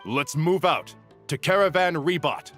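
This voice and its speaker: An actor playing a stern, decisive senior teacher in a video game, brisk and commanding. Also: male voice, on the high side, lively intonation, fairly bright tone, soft background music. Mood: chiding.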